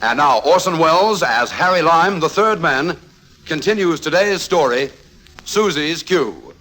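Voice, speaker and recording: A man's voice: a little high, lively intonation, unhurried, low-quality recording. A man announcing in an emphatic, declamatory 1950s radio style. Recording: old radio recording under loud music.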